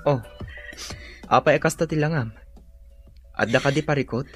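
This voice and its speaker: A man's voice: medium pitch, normal speed, faint music behind, natural voice. A man with an Ilocano accent acting in a radio drama as a concerned, placating young husband, earnest and questioning.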